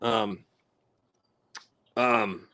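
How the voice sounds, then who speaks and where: A man with a lisp, chatting on a live stream from outdoors in the woods in a halting, rambling way full of fillers. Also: on the low side, steady tone, bright, forceful tone. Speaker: livestream host. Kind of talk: casual live-stream chat.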